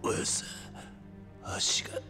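An actor playing a stoic, tough yakuza hero in a video game, speaking in a terse, grave manner. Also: male voice, slow pace, lightly acted. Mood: weak, apologetic. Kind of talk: dramatic video game acting. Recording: music behind.

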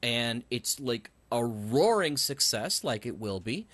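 A man's voice: medium pitch, sing-song, slow pace, a bit bright, dry recording. A man hosting a podcast, asking questions in a casual conversational interview style.

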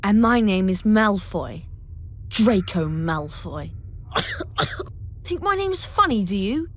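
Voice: medium pitch, sing-song, takes it slowly. An actor playing a haughty, sneering schoolboy bully with an English accent, drawling and condescending.

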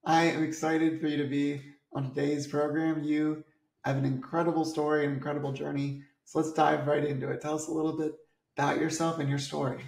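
Gravelly upbeat host on a video call. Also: male voice, fairly high pitch, little pitch movement, brisk, a little mellow. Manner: upbeat, enthusiastic, with repeated words in questions. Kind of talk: scripted intro then interview questions. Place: indoor home office. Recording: echoey room on video call.

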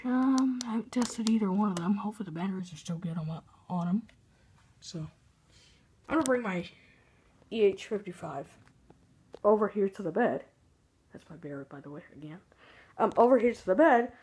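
A man's voice: sits high, darkish tone, breathy edge. A young man with a youthful voice giving hesitant, rambling casual commentary on a handheld phone in a bedroom. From Texas, United States. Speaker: weather radio hobbyist vlogger. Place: small bedroom at home, by a window. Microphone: handheld phone camera.

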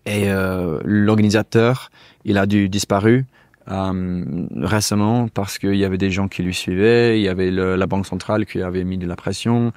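A man's voice: low-pitched, fairly even intonation, moderate pace, darkish tone, dry recording. A man in his thirties from England with an English accent, a native English speaker talking in French as an interview guest, hesitant and often searching for words. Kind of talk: casual conversational interview. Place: small indoor studio with couch. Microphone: lapel mic.

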